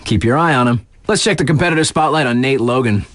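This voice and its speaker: A man's voice: medium pitch, fast, fairly bright tone, no room sound, lightly acted. A man playing a hip, laid-back radio DJ in a video game, giving casual, slangy sports patter with playful conversational asides. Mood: hyped, admiring. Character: hip, laid-back male radio DJ covering snowboard races.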